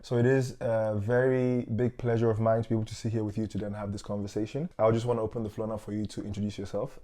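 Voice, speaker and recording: A man's voice: medium pitch, dark tone. A man hosting a podcast, interviewing a guest in a casual way and often speaking to his listeners as "guys". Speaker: podcast host.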